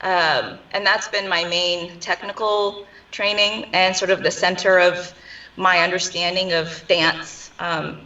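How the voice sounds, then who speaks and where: A woman from Afghanistan who grew up in the United States, speaking as a dancer being interviewed. She answers in a thoughtful, hesitant way with many pauses. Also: lowish voice, little pitch movement, bright, forceful tone. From Afghanistan, raised in New York and California. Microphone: video call. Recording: dull, distant video-call audio.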